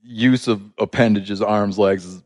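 A man's voice: on the low side, fairly even intonation, unhurried, darkish tone. A man hosting a podcast, asking curious, probing questions in a casual interview. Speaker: podcast host.

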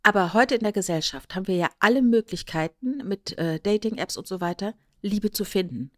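Frau im Podcast, trocken und nah aufgenommen. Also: female voice, mid-range voice, lively intonation, fairly quick, fairly bright tone, no room sound, clean studio sound. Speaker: podcast co-host. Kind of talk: casual podcast chat, explanatory retelling.

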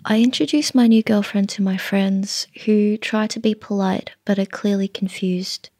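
A woman reading her own literary story in a measured way, often dropping to a breathy near-whisper. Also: medium pitch, steady tone, darkish tone, no room sound.

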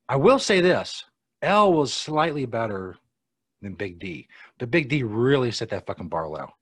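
A man's voice: mid-range voice, a bit bright, slightly rough, dry recording. An American man hosting a live podcast, chatting casually with a profane, sardonic tone and muttered asides. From United States. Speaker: podcast host. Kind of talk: casual live show chat.

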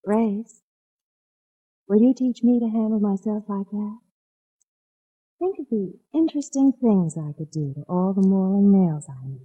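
Dark, sultry radio dame. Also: female voice, medium pitch, lively intonation, normal speed, long pauses, husky. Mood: flirtatious. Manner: sly, teasing. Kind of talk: 1940s radio drama acting. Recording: muffled old radio recording.

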